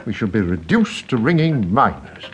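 An actor playing a kindly, absent-minded older English country rector in a radio drama, fussy and effusive, often hesitating and repeating himself. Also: male voice, on the low side, sing-song, normal speed, a little hiss or hum. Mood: dismayed.

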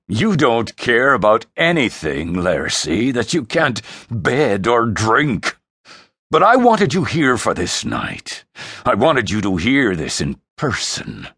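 A man's voice: mid-range voice, wildly swooping pitch, slow, gravelly, fairly bright tone, husky, dry recording, studio quality, voice pushed up, heavily acted. A man voicing a towering, domineering emperor and father in a fantasy audiobook, scornful and commanding. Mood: bitter contempt. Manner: scornful, commanding, snorting disdain. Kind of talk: dramatic fantasy audiobook character voice.

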